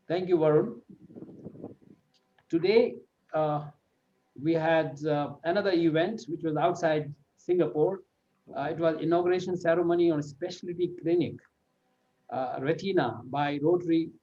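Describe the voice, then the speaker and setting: A man with a fairly high-pitched voice hosts a club meeting. He makes formal announcements with a hesitant, stop-start delivery. The sound is slightly muffled and lo-fi, as on a video call. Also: dark tone. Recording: dull, lo-fi online meeting audio.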